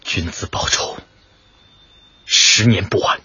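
An actor playing an earnest, determined young martial arts disciple in a radio drama, eager and emphatic. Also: male voice, takes it slowly, bright, forceful tone, muffled recording. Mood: resolute.

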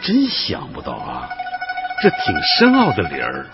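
An actor playing a curious, genial old man in a radio drama, folksy and inquisitive with drawn-out phrases. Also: rumbling low, huge pitch swings, gravelly, heavily acted. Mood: surprised, pleased. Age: older. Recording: muffled lo-fi broadcast with music behind.

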